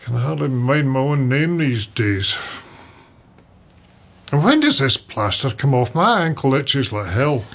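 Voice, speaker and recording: An actor playing a grumpy, confused older father, halting and grumbling in a radio drama. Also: mid-range voice, big pitch swings, a bit bright, highs cut off, exaggerated voice. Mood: confused, weary. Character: grumpy, confused elderly father, stubborn and sardonic.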